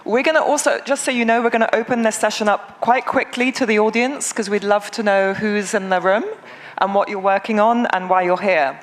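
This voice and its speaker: A woman from England, moderating a conference panel in a brisk, friendly way with frequent ums. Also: mid-range voice, a little hiss or hum. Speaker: session moderator.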